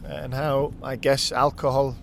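A man's voice: medium pitch, level tone, unhurried, vocal fry, a little hiss or hum. Chatty Welsh man talking from his car. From Wales. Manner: chatty and upbeat. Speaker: podcast host. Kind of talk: casual spoken intro while driving. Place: in a car on the road.

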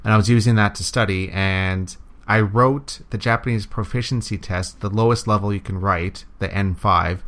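Man, from Canada, lower voice, laid-back podcast conversation. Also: steady tone, moderate pace, hardly any pauses, a bit bright. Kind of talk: casual chat.

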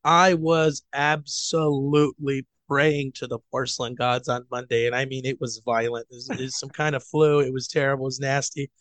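Sick-sounding male co-host, voice rough, blunt storyteller, dry booth sound. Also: medium pitch, flat delivery, moderate pace, hardly any pauses, a bit bright, no room sound. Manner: blunt and profane, tells stories. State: rough voice from the flu. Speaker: podcast co-host. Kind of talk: casual sports chat.

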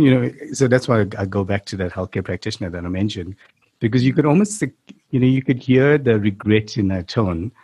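A man's voice: fairly low pitch, mellow, breathy edge. A man hosting an interview, rambling a little as he reflects back on the guest's story. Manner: rambling, heavy use of "you know" fillers. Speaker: podcast host. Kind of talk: conversational interview.

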